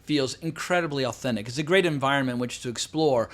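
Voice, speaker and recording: A man's voice: mid-range voice, fast. A middle-aged man giving a casual, enthusiastic film review, rambling at length and swearing freely for emphasis. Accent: non-local, not New York. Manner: long-winded, chatty, with frequent fillers.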